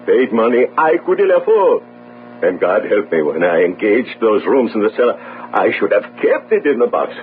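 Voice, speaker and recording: An actor playing a poor, guilt-ridden sailor in a radio drama, earnest, defensive and pleading. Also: male voice, on the low side, sing-song, normal speed. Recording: narrow phone-like radio audio, faint noise.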